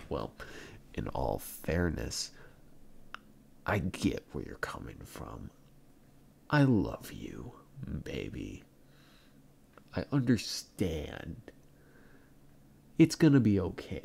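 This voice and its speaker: Casting: male actor as aggrieved young boyfriend for ASMR roleplay, gravel-heavy voice, halting delivery that swings widely in pitch. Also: warm tone, heavily acted. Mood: softening. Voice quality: very deep, gravelly, creaky. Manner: halting, drawn-out, repeats words, swinging between mutters and outbursts. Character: hurt, irritated young boyfriend arguing with his partner.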